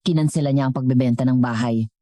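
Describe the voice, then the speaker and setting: A woman's voice: very deep voice, quick pace, warm tone, no room sound, clean studio sound. A woman with a Filipino accent narrating a scripted story as a storyteller.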